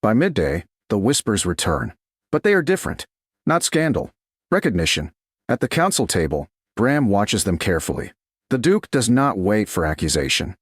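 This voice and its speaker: Male audiobook narrator for romance: low register, lively intonation, measured dramatic phrasing. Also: breathy edge, no echo, clean studio sound. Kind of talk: scripted romance story narration.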